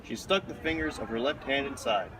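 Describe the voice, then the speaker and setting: A man's voice: mid-range voice, lively intonation, brisk. A man reading a children's picture book aloud, recorded outdoors. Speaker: storybook reader. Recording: noisy outdoor recording with traffic.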